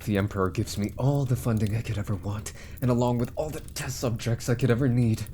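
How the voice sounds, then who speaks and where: A man playing an arrogant, scheming immortal mage minister in an ASMR roleplay, haughty and deliberate, trailing into hushed asides. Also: mid-range voice, slight background noise, lightly acted. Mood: smug, gloating. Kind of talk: ASMR roleplay villain monologue.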